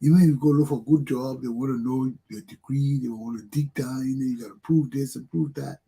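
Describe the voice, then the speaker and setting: A man's voice: mid-range voice, fairly even intonation, brisk, gravelly, mellow, husky. A middle-aged man from Liberia with a Liberian accent, hosting a talk show and giving an emphatic, preachy motivational monologue that repeats its points.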